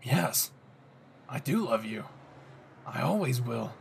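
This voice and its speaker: A man voicing an obsessive, threatening young male anime hero in a low, growling audio roleplay performance. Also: big pitch swings, slow, gravelly, a little hiss or hum, heavily acted. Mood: possessive, smug.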